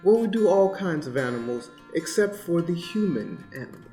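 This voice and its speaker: A principled taxidermist, played by a man, answers in deadpan fashion in a comic radio ad. The voice sits a little high, with faint guitar behind it. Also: normal speed, close to an everyday voice. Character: Jim, straight-faced, ethical small-business taxidermist. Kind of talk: comic radio commercial sketch. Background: guitar music.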